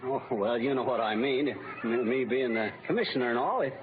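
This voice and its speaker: An actor playing a naive, henpecked husband and new commissioner, stammering and hesitant in old radio comedy style. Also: male voice, medium pitch, fairly quick, natural voice. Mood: earnest, flustered. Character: naive, bumbling, henpecked husband, newly made parks commissioner. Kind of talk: 1940s radio comedy acting. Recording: muffled, noisy old radio recording.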